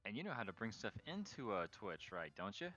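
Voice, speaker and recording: An easygoing man chats along on a game stream, his low voice bright and forward. The audio is dull and a little lo-fi. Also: big pitch swings, fairly quick, dry recording, soft background music. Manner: easygoing, joking. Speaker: co-host on stream. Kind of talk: casual game stream chat.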